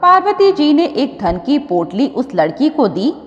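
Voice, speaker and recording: Slow, high-voiced woman storyteller in heavy reverb. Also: very animated. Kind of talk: scripted devotional story narration. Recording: dull audio with long reverb.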